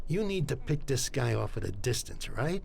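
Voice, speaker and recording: An actor playing a knowledgeable, helpful Mafia armorer in a video game, explaining things in a matter-of-fact way. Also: male voice, medium pitch, very animated, moderate pace, gravelly, a little mellow, a little hiss or hum. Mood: confident, helpful. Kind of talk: scripted video game dialogue.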